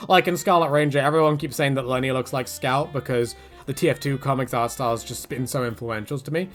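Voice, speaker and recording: A man casually answering viewer questions in a rambling, animated way, his pitch jumping up whenever he slips into quoted asides. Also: quick pace, soft background music. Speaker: YouTube creator answering fan questions. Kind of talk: casual Q&A monologue.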